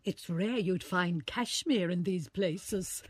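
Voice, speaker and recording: An actress playing a haughty, bargain-hunting shop customer with an affected manner in a radio drama. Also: female voice, on the low side, slow pace, breathy edge, no room sound, studio quality. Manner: affected, self-satisfied.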